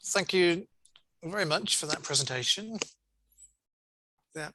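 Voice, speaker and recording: A man chairs an online session in a very bright, crisp-edged voice. The sound is close and dry, with little room on it. He talks informally and encouragingly, wandering a little as he goes. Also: fairly high pitch, lively intonation, measured pace, no echo. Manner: encouraging, rambling feedback. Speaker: conference host and session chair. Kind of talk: informal chairing and feedback over video call. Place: online video call.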